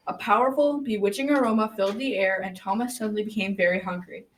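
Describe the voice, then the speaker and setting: A woman's voice: medium pitch, fast talker, lightly acted. Girl as a grandfather storyteller, slightly distant. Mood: storytelling. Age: young adolescent. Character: grandfather telling a folktale. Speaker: narrator. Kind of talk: scripted storytelling in a school radio drama. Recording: faint noise, slightly distant microphone.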